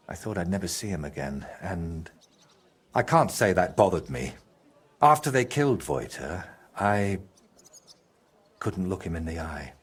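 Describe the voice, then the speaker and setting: An actor playing a troubled, guilt-ridden medieval painter in a video game, with a husky, halting and brooding delivery. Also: male voice, low voice, heavily acted. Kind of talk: video game dramatic dialogue.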